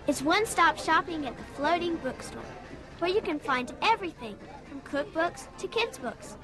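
A high-voiced woman narrates in a chatty, upbeat way meant for children. It is scripted voice-over recorded separately. Clear background music plays under her. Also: expressive, moderate pace, a crowd in the background. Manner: bright, chatty, kid-friendly.

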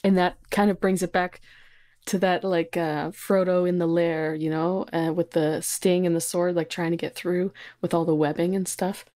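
A woman's voice: on the low side, little pitch movement, moderate pace, dark tone. A woman speaking as a podcast guest, explaining things in casual, plain conversational talk. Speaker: podcast guest, insect research technician.

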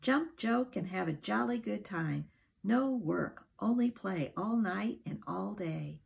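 Woman doing Jumping Jack type: giddy, high, gravelly fun-lover. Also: takes it slowly, full character voice. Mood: gleeful. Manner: excited, exclamatory. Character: jolly, bouncy male fun-loving host creature.

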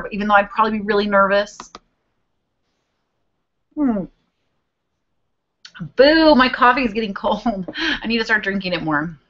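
A woman's voice: mid-range voice, a bit bright. A woman in her twenties talks in a loose, chatty way, humming to herself as she hunts for the next viewer question. She is recorded on a webcam in a small bedroom. The audio is a little dull and lo-fi. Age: 20s. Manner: chatty and rambling, hums while searching. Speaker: vlogger answering viewer questions. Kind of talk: casual chat. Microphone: webcam video call.

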